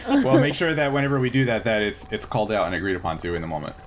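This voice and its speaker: A man's voice: fairly low pitch, sing-song, racing, a little hiss or hum. A man acting as game master, talking casually through a tabletop role-playing game in a jokey, easygoing way.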